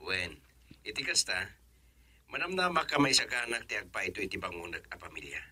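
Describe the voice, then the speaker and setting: A man doing a playful young Ilocano boyfriend, husky, low voice, dry close sound, radio drama. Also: lively intonation, normal speed, sharp tone, no echo, full character voice. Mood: affectionate.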